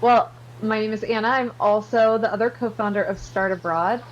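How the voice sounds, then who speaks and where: A woman's voice: medium pitch, moderate pace, muffled recording, slight background noise. An American woman from Virginia, speaking conversationally as an interview guest. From Virginia, United States. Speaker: interview guest, company co-founder.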